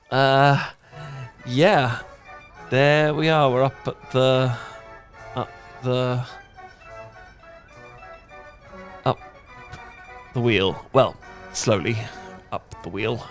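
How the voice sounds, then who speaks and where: A British man playing an awkward, flustered, dorky young man in a romantic audio roleplay, stammering, halting and apologetic as he rambles. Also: mid-range voice, natural voice. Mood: flustered, excited. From Britain.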